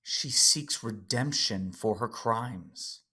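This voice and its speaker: A man playing a calm, knowing alien Jedi in an audio drama, with a husky voice and an understated, gently challenging manner. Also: lowish voice, slow, full character voice.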